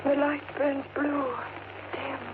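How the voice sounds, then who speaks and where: A woman's voice: sits high, monotone, slow pace. An actress playing a fragile, haunted young Victorian woman, breathless and earnest in the theatrical style of 1930s radio drama. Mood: terrified. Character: frightened, fragile young Victorian woman under vampire's spell. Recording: very noisy, tinny old radio recording.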